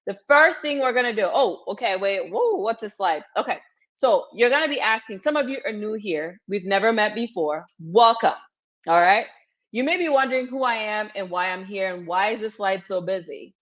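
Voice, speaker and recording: A woman's voice: medium pitch, animated, normal speed, fairly bright tone. Upbeat woman physician in her thirties hosting a webinar, direct and encouraging, slightly dull lo-fi audio. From Cameroon. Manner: upbeat, direct, repeats cues like "okay?" to the audience. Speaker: physician hosting a webinar for international medical graduates. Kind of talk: energetic live webinar coaching.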